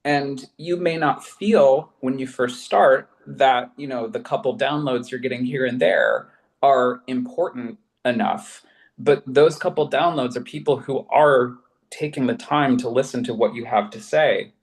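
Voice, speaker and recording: A man's voice: mid-range voice, unhurried, low-quality recording. A man speaking as a panel guest, giving advice in an earnest, emphatic and pleading way. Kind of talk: casual advice-giving talk.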